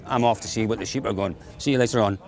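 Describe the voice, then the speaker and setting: A man's voice: lowish voice, rapid-fire, loud music behind the voice. A man from Scotland with a Scottish accent, presenting on location at an outdoor rural market in a jovial, joking, enthusiastic way.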